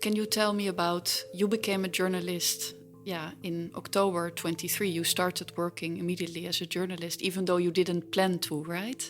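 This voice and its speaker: Even-toned woman hosting an interview. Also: lowish voice, normal speed, hardly any pauses, soft background music. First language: Dutch. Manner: gentle, prompting questions. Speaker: podcast host, journalist. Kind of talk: conversational interview.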